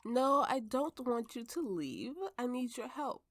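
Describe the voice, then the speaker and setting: A woman's voice: fairly high pitch, expressive, a little slow, dry recording, studio quality. A woman voicing a drunken, playful and imperious warrior woman in an ASMR roleplay. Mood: giddy, imperious. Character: tipsy, haughty, flirtatious warrior woman with a slave. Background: soft background music.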